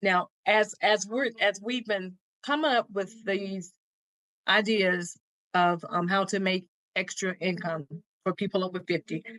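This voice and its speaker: A middle-aged woman co-hosts a casual advice podcast. Her voice sits at an average pitch and is recorded dry, without room sound. She speaks to listeners directly and encouragingly, in a conversational way. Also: fairly even intonation, a little slow, fairly bright tone, dry recording, poor audio. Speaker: co-host.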